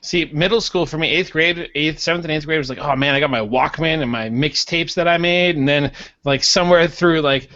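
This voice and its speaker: Thirties male teacher, slightly high, dry self-mockery; lo-fi recording. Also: a little fast, pushed and bright. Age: 30s. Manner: wry, self-mocking anecdotes. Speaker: teacher and podcast co-host. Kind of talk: casual chat.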